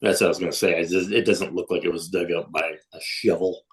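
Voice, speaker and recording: A man's voice: sits low, fairly even intonation, quick pace, husky. A man chatting casually as a player in a tabletop game.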